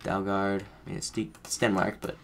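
A man's voice: sits low, flat delivery, a little fast, vocal fry, slight background noise. A man commentating his own game casually, rambling and correcting himself as he thinks aloud, his voice a bit off from feeling under the weather. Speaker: gaming streamer.

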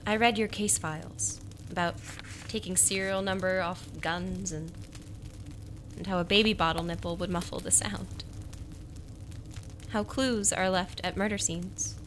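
An actress playing a lonely, devoted wife in a radio noir monologue, halting and wistful as she confides. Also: on the low side, steady tone, a bit bright, a little hiss or hum. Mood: wistful, confiding. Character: lonely, devoted wife quietly confessing her secret.

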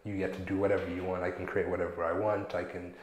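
A young man from Zimbabwe talks through his kitchen career in a relaxed, slangy interview. His voice sits low and deep. A lapel mic picks him up in a small studio that echoes. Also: fast, a little hiss or hum. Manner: relaxed, slangy, acts out coworkers' lines. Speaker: chef, interview guest. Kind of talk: casual interview talk. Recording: echoey room.

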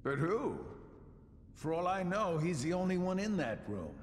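A man's voice: high-pitched, sing-song, measured pace, in a large hall, slight background noise. A man voicing a sly, mocking middle-aged schemer in a video game, with a teasing, theatrical drawl. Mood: sly, casual. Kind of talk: video game cutscene acting.

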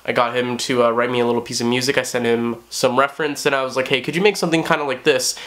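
A young man with a hoarse voice, talking casually to the camera in a small bedroom, rambling with many fillers. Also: medium pitch, flat delivery, fast, a bit bright. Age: young adult. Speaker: vlogger. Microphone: camera on tripod at close range.

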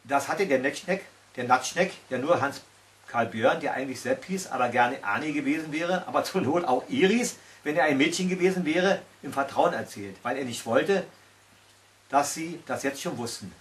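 A man's voice: mid-range voice, lively intonation, moderate pace, fairly bright tone. A man in his sixties reading a fairy tale aloud at home, as a storyteller. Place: small tiled-stove corner indoors at home. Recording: recorded from a distance.

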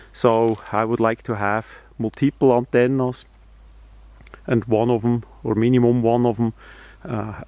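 A man explains things informally and hands-on, in halting, phrasing. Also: lowish voice, no echo, dull audio. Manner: halting, hesitant phrasing with non-native grammar. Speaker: radio hobbyist presenter.

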